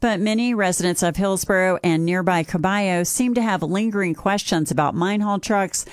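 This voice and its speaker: A woman reporter reading a scripted radio news story in an even, clear voice. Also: mid-range voice, moderate pace, dry recording, clean studio sound. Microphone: studio mic.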